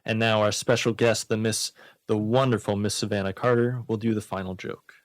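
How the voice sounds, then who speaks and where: A man's voice: on the low side, level tone, brisk, no room sound. A man hosting a radio comedy show, reading jokes and bantering casually with dry, mock-serious commentary. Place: radio studio.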